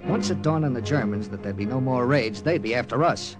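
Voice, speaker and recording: A man's voice: medium pitch, brisk, natural voice. A man acting a tough, grim American intelligence captain who narrates an old radio drama tersely. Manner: terse, hard-boiled. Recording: old radio recording with music behind.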